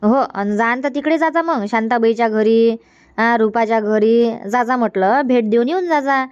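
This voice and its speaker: A woman's voice: on the high side, moderate pace, clean tone, no room sound, muffled recording, lightly acted. A woman voicing a middle-aged mother in a comedy cartoon, giving firm instructions with a Varhadi Marathi accent. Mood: instructing. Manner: bossy, instructive, scolding gently.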